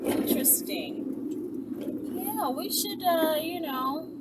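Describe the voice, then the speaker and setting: A woman's voice: extremely high pitch, wildly swooping pitch, takes it slowly, very noisy. A woman vlogger chatting casually and directly to her viewers in a teasing, emphatic, mock-sympathetic manner. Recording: distant mic, loud music behind.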